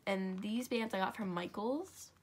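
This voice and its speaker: A woman's voice: mid-range voice, unhurried, a little hiss or hum. A young woman with a stuffy, congested nose, chatting her way through a step-by-step crafting tutorial at home, with rambling asides and self-corrections.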